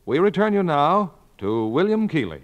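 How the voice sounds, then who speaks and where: A man's voice: on the high side, sing-song, moderate pace, dull audio. A man reading a scripted 1940s radio commercial as the announcer.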